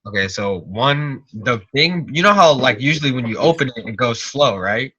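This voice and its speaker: A man's voice: mid-range voice, animated, moderate pace, sharp tone, husky, no room sound. A teenage young man chatting casually as a podcast guest, telling anecdotes in an informal, slangy, rambling way on a slightly muffled recording. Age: teens.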